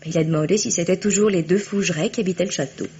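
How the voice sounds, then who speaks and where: A young woman playing an earnest, well-bred, spirited granddaughter in a radio drama, polite and at times hesitant, with a slightly breathy, husky voice. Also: on the low side, fast, warm tone, muffled recording, slight background noise, close to an everyday voice. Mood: curious. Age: young adult. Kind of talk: French radio drama acting.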